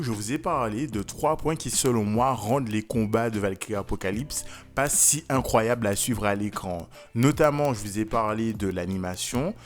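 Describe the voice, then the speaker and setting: A man hosting a review video, speaking in scripted commentary with conversational asides and theatrical, emphatic phrasing. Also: mid-range voice. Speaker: video reviewer and channel host.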